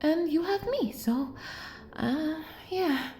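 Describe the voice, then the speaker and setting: A woman's voice: sits high, lively intonation, slow, echoey room, slight background noise. A woman playing an obsessive, lovestruck kidnapper in an intimate audio roleplay, doting and possessive, coaxing the listener with hesitant asides. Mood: possessive, awkward. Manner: soft, coaxing, hesitant asides, drops into whispers.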